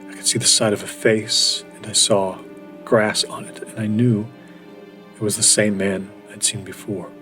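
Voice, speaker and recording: Man playing a haunted low-voiced seeker. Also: level tone, moderate pace, fairly bright tone, lightly acted. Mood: haunted, intent. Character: solitary male seeker recounting an eerie night search. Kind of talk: first-person horror audio drama monologue. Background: faint music.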